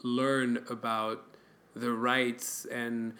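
A man's voice: mid-range voice, flat delivery, extremely slow, sharp tone. A young man from France chatting casually as a podcast co-host, giving advice with many fillers and restarts. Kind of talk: casual chat.

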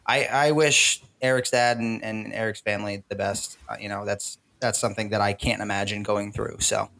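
A man co-hosting a podcast, chatting casually in an earnest, halting way with repeated phrases. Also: lowish voice, steady tone, gravelly, bright, forceful tone, a little hiss or hum, rough recording. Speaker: podcast co-host. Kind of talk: casual chat.

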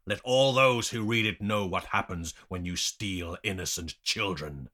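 A man's voice: deep voice, unhurried, bright, forceful tone. A man voicing a cruel male captor in a story, commanding, with a taunting, snarling delivery. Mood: menacing.

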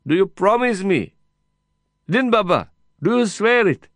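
A man voicing a proud, desperate male prisoner, pleading loudly and insistently through a fence. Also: sits high, lively intonation, moderate pace, clear, resonant tone, no room sound, voice pushed up. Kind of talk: audiobook character voice.

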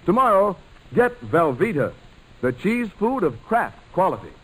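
A man's voice: high-pitched, very animated, a little slow, low-quality recording. A man announcing in polished, upbeat 1940s radio style, selling and narrating. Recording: old radio broadcast transcription.

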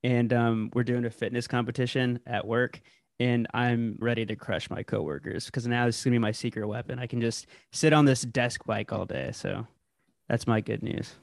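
A man shares a light personal story at a middle pitch, relaxed and playful. His voice is dry and close to the mic. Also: normal speed, vocal fry, no echo. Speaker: podcast co-host. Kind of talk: casual chat.

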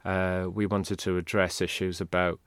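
Low-voiced man, rambling. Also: level tone, normal speed, fairly bright tone, smooth, no room sound. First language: Welsh. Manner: rambling, restarts, candid. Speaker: guest. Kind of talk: casual interview talk.

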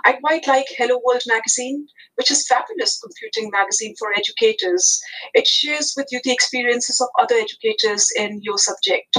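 Husky-voiced woman, Hindi first language. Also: fairly high pitch, brisk, sharp tone, low-quality recording. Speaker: teacher, podcast guest. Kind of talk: interview answers.

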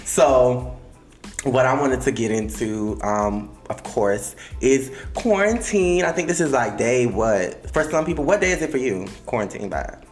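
A man's voice: mid-range voice, unhurried. Young dude from miami hosting his podcast, voice bouncing all over, roomy echo, faint music. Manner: animated, gossipy, swears freely. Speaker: podcast host. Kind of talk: casual podcast chat.

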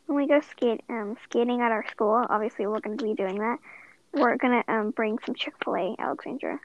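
A woman's voice: a little high, a little fast, no echo. A teenage girl co-hosting a casual podcast, bossily insisting it is her turn to talk. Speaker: podcast co-host. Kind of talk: casual chat. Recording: dry, dull lo-fi sound.

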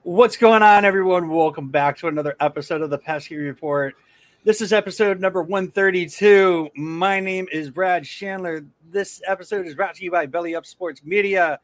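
A man's voice: high-pitched, normal speed, no room sound. A man hosting a casual sports podcast, talking loosely, swearing often and restarting his sentences. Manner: loose and profane, with frequent restarts. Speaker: podcast host.

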